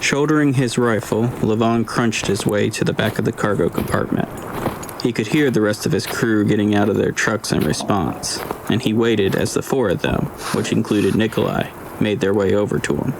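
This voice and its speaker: Male narrator, creaky mid-pitched voice, measured dramatic storytelling, faint ambience underneath. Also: background noise. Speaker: audiobook narrator. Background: ambient scene sound effects.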